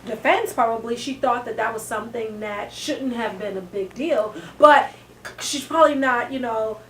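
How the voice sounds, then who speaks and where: High-voiced woman in an echoey room. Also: moderate pace. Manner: playful, joking, sassy asides. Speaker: co-host. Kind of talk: casual chat. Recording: echoey room, distant mic, faint noise.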